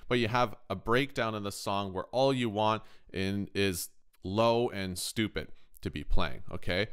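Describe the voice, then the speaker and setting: A man presenting a software tutorial in an informal, conversational way, as if thinking aloud. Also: lowish voice, clear, resonant tone. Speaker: music production tutorial host. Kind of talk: casual screen-recorded software tutorial.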